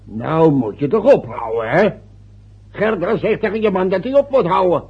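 A man's voice: sits high, animated, moderate pace, husky. An actor playing a dry, sarcastic man who needles his brother-in-law in curt remarks, in a vintage radio play. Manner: sardonic, curt. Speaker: the wife's brother. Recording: dull lo-fi old radio recording.

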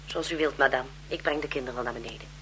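An actress playing a polite, gossipy governess who eagerly serves a marquise, in a 1970s Dutch radio drama. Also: female voice, rumbling low, very fast, background noise. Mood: dutiful, puzzled. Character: deferential, chatty, gossipy governess to a marquise's children.

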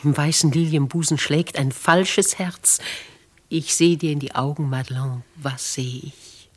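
A woman's voice: sits low, fairly even intonation, measured pace, a little mellow. A woman playing a dignified, bold older noblewoman writer in a 1950s German radio drama, with theatrical, declamatory phrasing. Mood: tender, searching.

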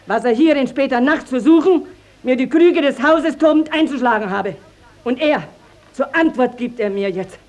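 Woman playing a high-voiced, indignant village matron. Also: moderate pace, darkish tone, natural voice. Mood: outraged, dramatic. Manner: emphatic, declamatory, dramatic storytelling. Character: indignant, garrulous village mother, proud and accusing. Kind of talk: classic stage-style radio drama acting.

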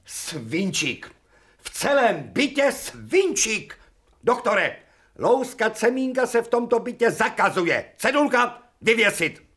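An actor playing an imperious, irritable professor in a radio drama, brusque and commanding. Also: male voice, very animated, fairly bright tone. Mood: irritated. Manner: brusque, commanding, swinging pitch widely.

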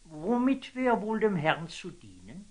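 An actor playing a portly, pompous prelate in a classical radio drama, with an unctuous, condescending, drawn-out delivery. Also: male voice, high voice, big pitch swings, heavily acted. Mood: unctuous, patronising.

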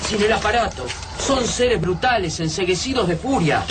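A man's voice: sits high, expressive, moderate pace, noisy background. An actor with an Argentine accent playing a tense male survivor in a radio drama, urgent and analytical. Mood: reflective. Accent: Argentine Spanish. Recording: radio drama with music, a bit distant.

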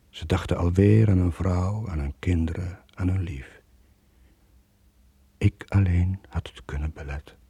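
An actor playing a guilt-ridden middle-aged sailor in a radio play, with brooding, sombre narration. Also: male voice, sits low, gravelly, warm tone, husky, no room sound, full character voice. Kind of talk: dramatic radio play monologue.

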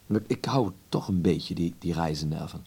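A man's voice: sits low, moderate pace, mellow, husky. An actor playing a restless, ambitious young man of twenty-one in a naturalistic radio play, talking casually and reassuringly to his mother. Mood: casual, fond. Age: young adult.

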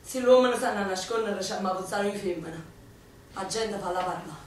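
A woman's voice: mid-range voice, brisk, a little hiss or hum, close to an everyday voice. A woman with a Neapolitan accent acting a defiant young partisan in a radio stage play. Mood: determined. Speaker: radio drama actress. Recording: roomy distant stage sound with music.

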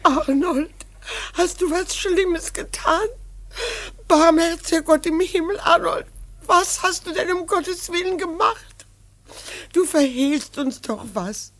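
A woman's voice: extremely high pitch, slow, fairly bright tone, full character voice. An actress playing an anxious, reproachful middle-aged mother in a German radio play, lamenting and pleading insistently with emotion.

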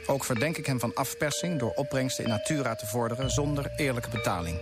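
A man's voice: mid-range voice, fast talker, loud music behind the voice, close to an everyday voice. A man playing a principled, stubborn colonial official in a Dutch radio play, speaking formally and firmly. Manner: formal, firm, declarative.

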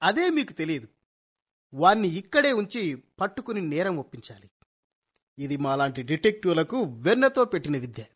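A man's voice: fairly high pitch, sing-song, normal speed, pauses often, fairly bright tone. A man playing a boastful young amateur detective son in a Telugu comedy radio play, speaking in bombastic vows with English words thrown in. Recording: dull lo-fi radio play recording.

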